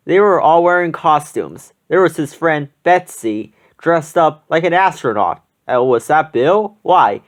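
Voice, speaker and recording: An animated reader tells a children's picture book aloud. The pitch sits in the middle and moves up and down widely, giving the narration an expressive, storytelling shape.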